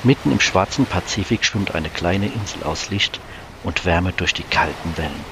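A man acting as the sober narrator of a science-fiction radio play, measured and solemn. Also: deep voice, background noise. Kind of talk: scripted dramatic narration.

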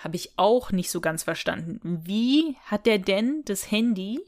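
A woman's voice: medium pitch, animated, a little slow, a little mellow. A woman co-hosting a podcast in casual, colloquial chat, recapping a story and often tacking on little tag questions. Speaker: podcast co-host.

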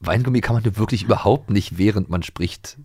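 A man's voice: lowish voice, brisk, darkish tone, slightly rough, no echo, studio quality. A man from former East Germany co-hosting a podcast, chatting enthusiastically and a little rambling about childhood radio plays. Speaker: podcast co-host.

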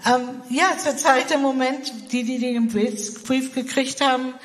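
A woman's voice: high-pitched, steady tone, unhurried, in a large hall, poor audio. A German woman, a missionary, answering interview questions in a hesitant way, with many fillers and restarts. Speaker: missionary guest. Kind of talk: interview conversation.

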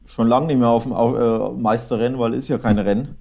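Male co-host with a warm, relaxed mid-range voice, conversational sports analysis. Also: mid-range voice, a little fast, creaky. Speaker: football podcast co-host. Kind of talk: casual football analysis chat.

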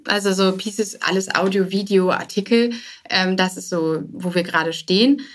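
A woman's voice: mid-range voice, fairly even intonation, moderate pace, a little hiss or hum. A woman giving casual interview answers as a start-up founder, informal and hesitant, with English business words mixed in.

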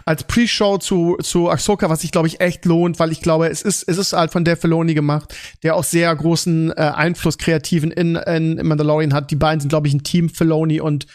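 A man with a fairly high voice hosts a casual podcast. He talks in a conversational way, often doubling back on words while he shapes a thought. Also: level tone, brisk, few pauses, darkish tone. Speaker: podcast host. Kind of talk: casual podcast chat about series and films.

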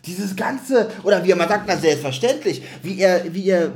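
A man with a slightly high voice, talking as a podcast host in a casual review. He is opinionated and argumentative, picking things apart in loose conversation. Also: animated, moderate pace. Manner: critical, argumentative.

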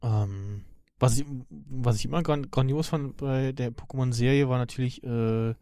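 A man in a casual podcast chat, speaking haltingly, often repeating himself as he searches for words. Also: mid-range voice, mellow, no echo, studio quality. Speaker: podcast co-host. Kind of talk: casual chat about animated series and games.

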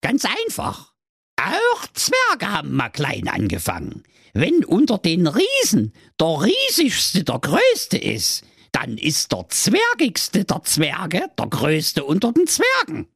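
Saxon oracle turtle lady, old and fussy, high voice, draws out the logic, man doing it. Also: huge pitch swings, vocal fry, fairly bright tone, husky, no echo, studio quality, heavily acted. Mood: explaining. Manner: explains with slow patient emphasis.

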